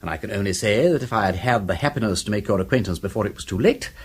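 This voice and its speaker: Posh english lord detective, chatty and whimsical, deepish slightly rough voice, old slang, radio play. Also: male voice, lively intonation, racing. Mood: gallant flattery. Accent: upper-class English. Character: flippant, lovestruck aristocratic gentleman sleuth, chattering wittily. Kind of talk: British radio drama acting.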